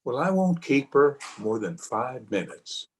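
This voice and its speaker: A man's voice: medium pitch, very animated, a little slow, husky. A man playing a tender, adoring young bridegroom in an old-time radio drama. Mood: warmly attentive.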